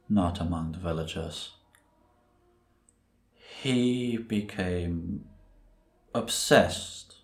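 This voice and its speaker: Very slow, deep, solemn priest acted by a man. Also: full character voice. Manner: solemn, deliberate, ominous, with long pauses. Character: grave, brooding male priest recounting a dark tale. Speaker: voice actor in an audio drama. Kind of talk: cinematic dramatic storytelling in audio roleplay. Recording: roomy echo on the voice.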